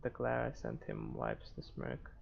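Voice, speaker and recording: A man with a heavily gravelly, creaking voice reads story narration from a visual novel as a gaming host. His reading is halting and stumbles at times, on a dull lo-fi microphone with faint noise. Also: rumbling low, very animated.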